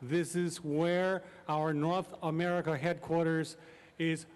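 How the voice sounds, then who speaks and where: Smooth-voiced man giving an address. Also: fairly high pitch, level tone, slow, long reverb tail. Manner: hesitant, many ums. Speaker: airline representative. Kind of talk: formal speech.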